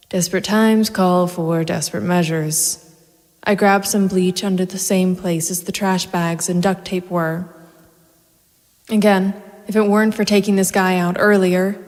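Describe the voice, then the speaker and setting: Woman playing a grim, low-voiced female vigilante. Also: darkish tone, in a large hall, natural voice. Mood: brooding. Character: grim female masked vigilante private investigator, cold and methodical. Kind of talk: first-person noir audio drama narration. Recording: music bed behind the voice.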